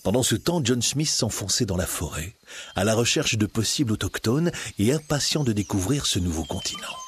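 A man narrating a storybook tale in an expressive, scripted reading. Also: on the low side, moderate pace.

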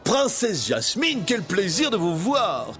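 Storybook villain vizier, oily, gloating, raised voice, done by the narrator. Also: male voice, high voice, wildly swooping pitch, slow pace, a bit bright, faint music behind, voice pushed up, full character voice. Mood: honeyed. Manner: honeyed, gloating, mocking. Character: scheming grand vizier turned sorcerer, male.

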